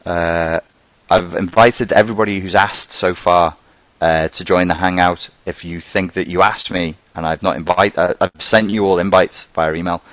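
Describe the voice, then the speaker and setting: A man with a very low voice and a softened Scouse accent hosts a live question-and-answer stream. He talks in a loose, conversational way, thinking aloud as he goes. His voice sits close and dry, with no room around it. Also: dry recording. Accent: toned-down Scouse. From Merseyside, England. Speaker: host answering viewer questions.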